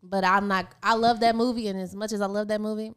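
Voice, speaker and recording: American lady chatting on a podcast, quick talker, smooth clear voice, opinionated and slangy. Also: mid-range voice, steady tone, a bit bright. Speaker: podcast co-host. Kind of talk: casual chat.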